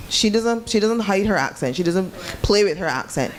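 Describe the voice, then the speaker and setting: Participant from Barbados, halting comment, faint room noise behind. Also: mid-range voice, expressive. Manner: hesitant with many fillers. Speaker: seminar participant. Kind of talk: comment from the audience. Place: seminar room.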